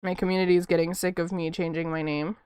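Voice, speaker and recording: A woman's voice: on the low side, little pitch movement, quick pace, darkish tone, clear, resonant tone, no room sound. Female streamer, casual rambling chat, soothing voice, dry close-mic sound. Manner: rambling and chatty, laughs often. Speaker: art streamer.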